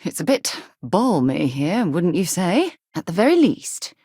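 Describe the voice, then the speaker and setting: A woman voicing a principled, curious space explorer in a video game, husky and articulate, with a composed, earnest delivery. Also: fairly low pitch, big pitch swings, measured pace, dark tone, no room sound, studio quality, heavily acted. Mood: reflective. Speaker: game voice actor. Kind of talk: video game companion dialogue.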